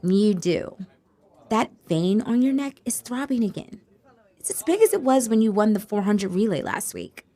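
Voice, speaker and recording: A woman's voice: mid-range voice, animated, normal speed, mellow, close to an everyday voice. A woman playing a cheeky, blunt young sister who needles her sibling in an audio drama. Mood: teasing. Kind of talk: scripted audio drama acting.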